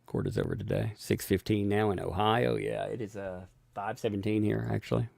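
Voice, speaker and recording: A man hosting a casual live stream, thanking viewers for donations and rambling with frequent restarts and chuckles; he has a gravelly voice. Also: lowish voice, expressive, a little fast, darkish tone, no room sound.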